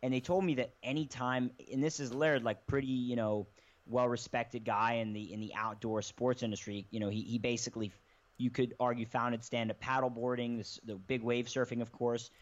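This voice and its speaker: A man's voice: medium pitch, fairly even intonation, moderate pace. A man explaining things in a rambling, casual way as a podcast guest, often correcting himself mid-sentence. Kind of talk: casual conversation. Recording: slightly dull lo-fi sound.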